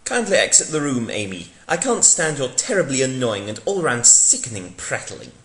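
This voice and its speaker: A man's voice: mid-range voice, big pitch swings, normal speed, a bit bright. A man voicing a solemn, pompous time-travelling hero in a dramatic reading, with stilted, declamatory delivery. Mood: disdainful. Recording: echoey room.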